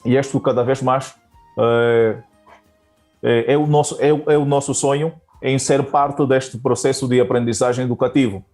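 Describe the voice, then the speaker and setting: A man's voice: medium pitch, fairly even intonation, moderate pace, darkish tone, faint music behind. A man from Angola, a Portuguese teacher, answering interview questions hesitantly with many fillers and restarts.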